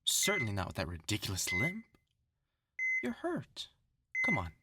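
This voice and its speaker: A man's voice: fairly low pitch, huge pitch swings, moderate pace, very noisy. A man playing a vengeful test subject turned mock doctor in a horror audio roleplay, commanding, sardonic and mock-clinical. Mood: cold, commanding.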